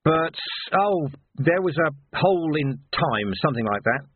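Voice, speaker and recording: Gruff veteran policeman performed by a man, short-tempered, terse, world-weary, husky, narrow-band audiobook recording. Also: a little high, animated, measured pace, no echo, pitched above the performer's own voice. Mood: weary, confessing. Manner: blunt, terse, irritable.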